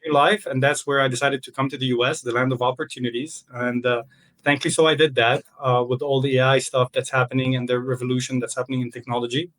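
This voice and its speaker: A man's voice: medium pitch, level tone, fast, a bit bright, rough recording. A young man from Lebanon, a podcast guest on a video call, speaking casually and hesitantly, with many fillers and restarts. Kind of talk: casual interview.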